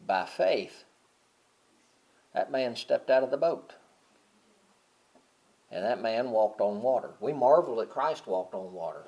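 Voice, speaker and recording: A middle-aged man preaching a sermon, talking casually and then swelling loud and high on key points. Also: lively intonation, slow, pauses often, breathy edge, lo-fi sound. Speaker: pastor.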